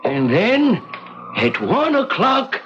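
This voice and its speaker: A man's voice: extremely high pitch, wildly swooping pitch, takes it slowly, heavily acted. An actor playing a courteous but sinister old man, speaking formally and cryptically in an old-time radio mystery. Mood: quietly menacing. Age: older. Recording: muffled, noisy vintage radio recording.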